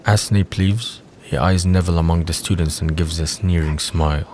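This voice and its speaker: A man's voice: low-pitched, fairly even intonation, moderate pace, a little mellow, slight background noise. A man reading scripted third-person narration for an audio drama.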